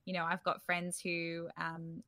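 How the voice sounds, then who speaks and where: Bright-voiced woman, close and dry. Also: lowish voice, completely flat delivery, a little slow, dry recording. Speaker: startup founder, interview guest. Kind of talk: casual conversational interview.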